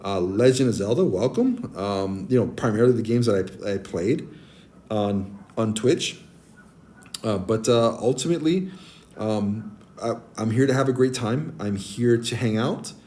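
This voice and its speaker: A man in his forties with a naturally deep voice, chatting casually with stream viewers and giving friendly advice, with many ums and restarts. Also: expressive, unhurried, warm tone. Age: 40s. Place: abroad, streaming on a tablet. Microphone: tablet mic.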